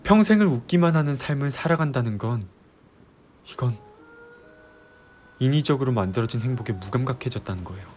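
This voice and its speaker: A man playing an earnest, disillusioned young fiancé in an audio drama, delivering a heartfelt, pleading monologue with deliberate pauses. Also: mid-range voice, expressive, darkish tone, a little hiss or hum, close to an everyday voice. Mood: earnest, sorrowful. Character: earnest, disillusioned young male fiance, resolved to leave utopia. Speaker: audio drama creator and voice actor. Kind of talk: Korean ASMR audio drama roleplay. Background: background music.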